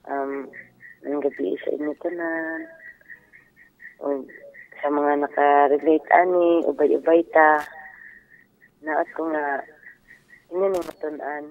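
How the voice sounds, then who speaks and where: A man's voice: fairly high pitch, expressive, takes it slowly, creaky, long pauses, no echo, narrow, tinny audio. A man with a Filipino accent chatting casually as a caller on a radio show. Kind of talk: casual personal chat. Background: music underneath.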